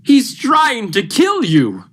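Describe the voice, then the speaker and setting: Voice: mid-range voice, huge pitch swings, slow pace, a bit bright, full character voice. A young performer playing an urgent, commanding male doctor in an old-style radio thriller, emphatic and melodramatic. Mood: urgent, persuading. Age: young adult. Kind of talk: 1940s radio thriller acting.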